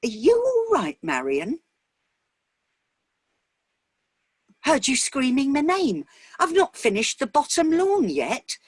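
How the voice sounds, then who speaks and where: A woman's voice: high-pitched, huge pitch swings, unhurried, dry recording, clean studio sound, pitched above the performer's own voice, exaggerated voice. A woman voicing a bluff, easygoing husband who can turn anxious, in a story reading. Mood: alarmed.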